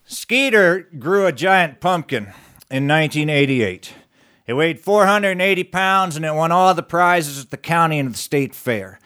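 Higher-pitched folksy man telling tall tales. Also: expressive, moderate pace, pushed and bright. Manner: folksy, conversational asides. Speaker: storyteller. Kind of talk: comic tall tale told live.

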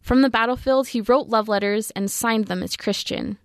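A woman's voice: a little high, fairly even intonation, moderate pace, dry recording. A young woman student presenting her book review in a small, dry college radio studio. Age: 20s.

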